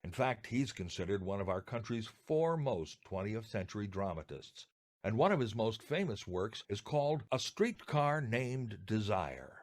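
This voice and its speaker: A man's voice: on the low side, sing-song, normal speed. A man co-hosting a podcast, reading scripted introductions. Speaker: podcast co-host.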